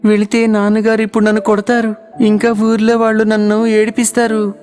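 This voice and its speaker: An earnest, anxious village boy in a cartoon storybook, voiced by a woman narrator, pitched a little lower and softer than her telling. A long reverb trails each line over soft music. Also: completely flat delivery, a little fast, close to an everyday voice. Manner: earnest, pleading.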